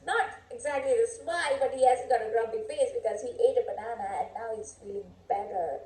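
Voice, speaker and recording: A woman hosting a children's storybook read-aloud, expressive and playful, with coaxing questions. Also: on the high side, fairly quick. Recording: echoey room.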